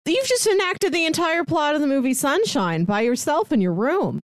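A woman's voice: high voice, sing-song, moderate pace, no room sound. A woman co-hosting a podcast in casual chat, with a wry, dry-witted way of talking. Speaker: podcast co-host.

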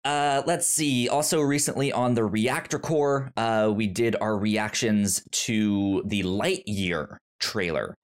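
A man's voice: on the low side, slow, hardly any pauses, smooth. A man hosting a podcast in casual conversation, with a stutter that repeats sounds and words. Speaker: podcast host.

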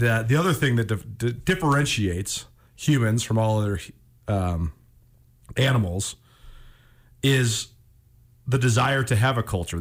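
A man hosting a radio talk show in a reflective, conversational way, musing philosophically and somewhat haltingly. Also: medium pitch.

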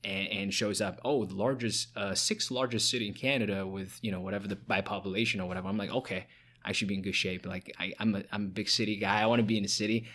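A young man who grew up in China talks casually as a podcast guest, for whom Chinese is his first language. He speaks quickly in a lowish voice, with plenty of fillers and false starts. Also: steady tone, a bit bright. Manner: quick, with many fillers and restarts. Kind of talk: casual interview chat.

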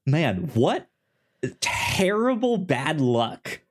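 A man co-hosting a casual podcast discussion, speaking haltingly and often repeating syllables and words in a stutter-like way. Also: a little high, sing-song. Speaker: podcast co-host.

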